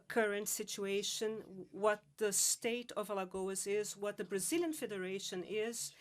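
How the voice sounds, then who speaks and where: Woman interpreting a talk live, slow and measured, middle pitch. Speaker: simultaneous interpreter.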